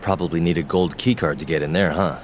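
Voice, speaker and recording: A man's voice: deep voice, fast, a little hiss or hum, lightly acted. A man acting in an English game dub as a laid-back, wry, confident detective who talks casually and unhurriedly. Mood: casual, polite.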